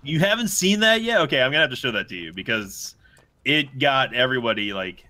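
A man's voice: mid-range voice, expressive, a little fast, sharp tone. A man hosting a casual gaming session, chatting and commentating as he plays with friends. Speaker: gaming group host.

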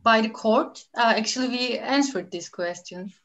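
A woman lawyer from Turkey, speaking English with a Turkish accent as a guest on an online panel, measured with occasional fillers. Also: on the high side, fairly bright tone, dull audio. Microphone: video call.

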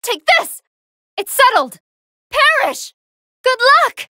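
Very high-pitched, earnest android heroine. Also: female voice, big pitch swings, slow pace, bright, forceful tone, dry recording, clean studio sound, full character voice. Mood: fierce battle cries. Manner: earnest, polite, emphatic delivery in short battle lines. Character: good-hearted female android fighter, earnest, polite, battling her hunger. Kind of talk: anime fighting game voice lines.

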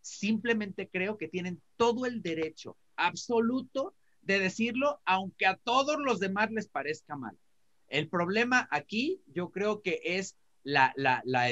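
High, bright Mexican man on video call. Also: expressive, measured pace. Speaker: talk show panelist. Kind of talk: opinionated panel commentary. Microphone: computer mic on video call. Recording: dull video call audio.

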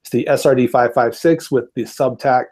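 A man in his thirties on an earbud mic over a video call, explaining things in a relaxed, measured way as a podcast guest. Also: mid-range voice. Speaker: podcast guest, gun channel creator. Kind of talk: casual podcast chat. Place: small room at home, indoors.

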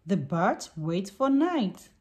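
A woman reading a picture book aloud for young children in deliberate, simple sentences. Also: medium pitch, big pitch swings, a little mellow.

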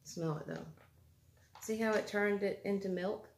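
A woman's voice: mid-range voice, fairly even intonation, a little slow. A woman in her thirties casually chatting and tasting food for her vlog, talking to viewers and her son at the table at home. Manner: homey, talks to viewers and son while unwrapping food. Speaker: food reviewer vlogger. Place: home dining room, indoors. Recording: echoey room with clear background noise.

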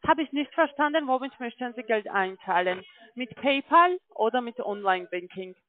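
A non-native German-speaking woman with a foreign accent giving a telephone sales pitch as a call-centre agent, often checking in as she goes. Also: animated, normal speed. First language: not German. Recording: muffled, tinny phone line.

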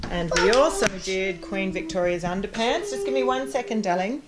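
A woman's voice: mid-range voice, expressive, moderate pace. A woman in her thirties with an Australian accent, chatting casually in a home vlog about books. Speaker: homeschooling parent and vlogger. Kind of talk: casual vlog book round-up. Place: room at home. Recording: children's noisy chatter in background. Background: young children playing noisily.

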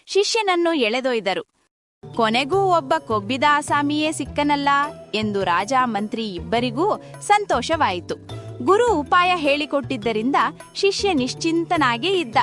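A woman narrating a scripted children's folktale in an expressive storytelling manner. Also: high-pitched. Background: background music.

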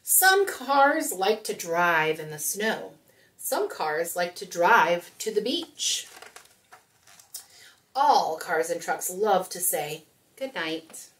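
A woman's voice: on the low side, very animated, takes it slowly, a bit bright, recorded from a distance. A woman in her thirties hosting storytime, talking and reading aloud expressively to young children. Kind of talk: children's storytime talk and picture-book read-aloud. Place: small room indoors.

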